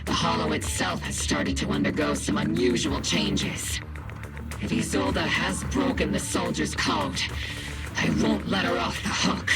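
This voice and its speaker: A man's voice: a little high, animated, unhurried, poor audio. A man playing a resolute military squad commander in a video game, with a commanding, dramatic delivery. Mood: grave, determined. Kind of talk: scripted video game acting. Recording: loud game music behind. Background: loud music.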